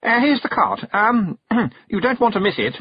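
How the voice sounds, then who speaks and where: Storybook young lord, male, high-pitched, stammering, nervous and hesitant a man performs him. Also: very animated, normal speed, husky, no echo, highs cut off, full character voice. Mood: nervous, embarrassed. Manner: stuttering, hesitant, flustered. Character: nervous, stammering young nobleman, a baron's son.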